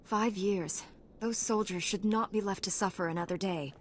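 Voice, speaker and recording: An actress playing a calm, noble warrior monk in a video game, composed and assured. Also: female voice, mid-range voice, normal speed, slight background noise, close to an everyday voice. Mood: calm, resolute.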